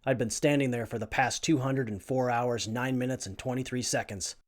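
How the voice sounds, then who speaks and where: Man playing young male survivor, earnest tinkerer, brainy, average pitch, post-apocalyptic audio drama. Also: steady tone, fairly quick, close to an everyday voice. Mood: weary, earnest.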